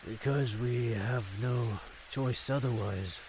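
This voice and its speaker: A man's voice: mid-range voice, takes it slowly, a little mellow, close to an everyday voice. A man playing an earnest, wary freed prisoner in an audio drama, speaking in formal phrases. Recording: clear rain and ambience noise. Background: rain.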